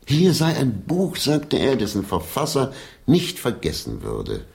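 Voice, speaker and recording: An actor in a radio drama playing a sentimental, grandiloquent old stage player with a declamatory manner. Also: male voice, mid-range voice, wildly swooping pitch, a little slow, creaky, a little mellow, full character voice. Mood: reminiscing, solemn.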